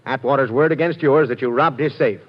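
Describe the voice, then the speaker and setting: A man's voice: medium pitch, fairly quick, close to an everyday voice. A man playing an exasperated, wheedling police inspector in an old radio drama. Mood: pleading. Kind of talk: 1940s radio acting. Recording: dull lo-fi old radio recording.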